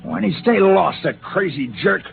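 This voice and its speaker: Crude scornful spaceman, gravelly voice, swooping pitch, thin old-radio sound. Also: animated, moderate pace, full character voice. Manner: coarse, sneering. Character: crude drunken male crewman, scornful. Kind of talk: 1950s radio acting. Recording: tinny 1950s radio broadcast with faint hiss.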